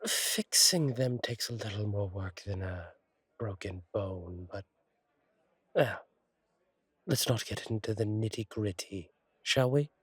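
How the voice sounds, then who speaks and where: A man plays a fallen-angel bartender with a lowish, husky voice, recorded close and dry. He chats to a regular in an intimate roleplay style, reassuring and attentive. His humour is gentle and wry, and his wording turns slightly formal. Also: dry recording. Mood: wry, playful. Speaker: bartender character in audio roleplay. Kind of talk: intimate one-sided roleplay audio acting.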